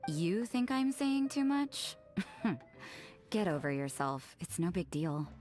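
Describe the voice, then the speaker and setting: World-weary rebellious nun, low voice, dry, blunt, video game dub, background music. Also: female voice, slow pace. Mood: blunt, unbothered. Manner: dry, deadpan, unbothered.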